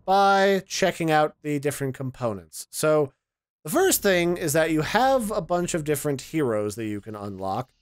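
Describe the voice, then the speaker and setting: A man's voice: on the high side, lively intonation, normal speed, smooth, no echo, clean studio sound. A man giving casual, chatty and opinionated commentary as the host of a game preview. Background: music.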